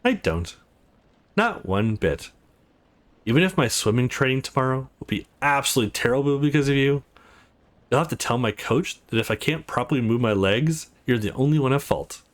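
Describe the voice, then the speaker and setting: A man voicing a sharp-tongued, teasing young woman in a visual novel, sarcastic and foul-mouthed but secretly affectionate. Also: medium pitch, animated, normal speed, close to an everyday voice.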